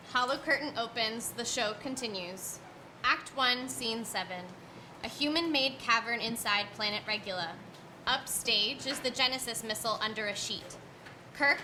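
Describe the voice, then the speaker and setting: A woman's voice: a little high, measured pace, pushed and bright. A woman narrating scripted stage directions at a live staged reading. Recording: live stage recording with clear noise.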